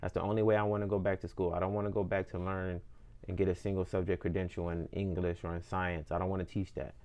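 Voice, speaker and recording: A man's voice: sits low, little pitch movement, quick pace, a little mellow. A man in his twenties talking earnestly about his music and goals in a reflective personal monologue, often repeating and restarting phrases as he thinks aloud. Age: 20s. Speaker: independent musician.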